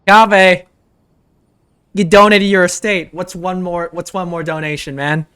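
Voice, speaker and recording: Reaction streamer, slow pace, casual, repetitive exclamations, game commentary. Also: mid-range voice, flat delivery, a bit bright, smooth.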